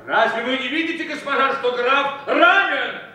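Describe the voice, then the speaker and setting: An actor playing a brusque, commanding police officer in a declamatory stage play within a radio drama. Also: male voice, very high-pitched, normal speed, sharp tone, heavily acted. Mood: urgent, grave. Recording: echoey hall from far off, heavy noise.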